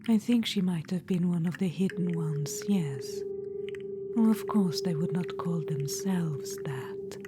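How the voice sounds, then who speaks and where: A woman's voice: on the low side, measured pace, background noise, natural voice. A woman voicing a scheming, ancient undead witch-queen spirit in a whispered ASMR audio roleplay, silky and condescending, calling the listener darling. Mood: silky, coaxing. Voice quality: soft unvoiced whisper.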